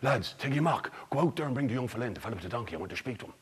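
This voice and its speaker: An Irish man voicing a gruff older king in a told folk tale, dropping his voice low and rough. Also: lively intonation, rapid-fire, long reverb tail, slight background noise, close to an everyday voice.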